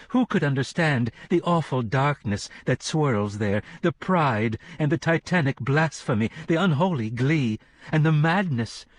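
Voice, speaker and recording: A man's voice: on the high side, dry recording, pitched above the performer's own voice. A man reading an audiobook, voicing a commanding female preacher who thunders out a hellfire sermon with rising cadences. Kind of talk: audiobook character voice.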